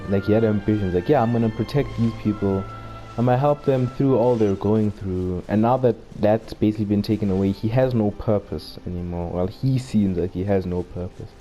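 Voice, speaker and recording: A man's voice: lowish voice, mellow. A man giving casual commentary on a game playthrough, musing in a loose, informal way. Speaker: gaming video host.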